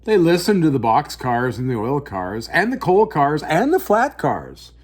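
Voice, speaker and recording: A man's voice: on the high side, big pitch swings, unhurried. A man hosting story time, reading a picture book aloud with dramatic phrasing and talking directly to young listeners. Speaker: children's story reader, host.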